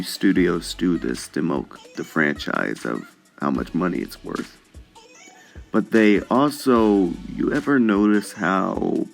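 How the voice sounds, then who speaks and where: Guy doing podcast style opinion commentary, really deep creaky voice, stresses his points. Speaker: video host and commentator.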